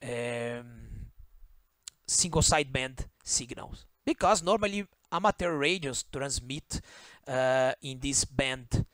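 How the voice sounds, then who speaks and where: A man whose native language is Portuguese, speaking English with a Brazilian Portuguese accent, explains a circuit diagram as an electronics instructor, pausing often, correcting himself and checking in with "okay?". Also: mid-range voice, fairly bright tone, dry recording. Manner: halting, self-correcting, frequent "okay?" checks. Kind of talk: screen-share circuit walkthrough. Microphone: voice-over on screen recording.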